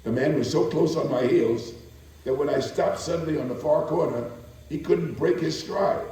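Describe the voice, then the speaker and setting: A man performing a weary working woman's solemn testimony in a slow, deliberate dramatic reading. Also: mid-range voice, warm tone, close to an everyday voice. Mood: disgusted, tense. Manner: slow, deliberate, theatrical pauses. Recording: very echoey room, distant microphone.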